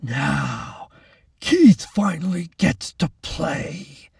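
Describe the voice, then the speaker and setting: A man's voice: fairly high pitch, expressive, very slow, gravelly, a little mellow, husky, no room sound, studio quality, far from the mic, pitched above the performer's own voice, exaggerated voice. A man voicing a brutal, hulking male orderly with a thick voice who talks in a gloating, leering way.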